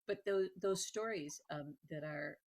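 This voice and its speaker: Woman interview host, low voice, chatty, stops and repeats words as she goes. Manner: halting, repeats words while thinking. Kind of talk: conversational interview hosting.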